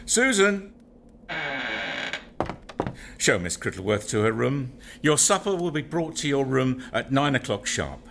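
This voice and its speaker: An actor playing a brusque, sarcastic master of a manor house in an audio play. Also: male voice, mid-range voice, big pitch swings, measured pace, gravelly, pauses often, a bit bright, a little hiss or hum, full character voice. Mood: commanding, dismissive. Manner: brusque, sarcastic, clipped politeness. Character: curt, pedantic master of a spooky manor.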